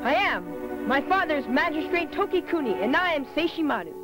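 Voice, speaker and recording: An actor voicing a spirited, proud little samurai boy, earnest and eager, in an animated film. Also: sits high, fairly quick, music in the background. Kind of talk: animated film dub acting.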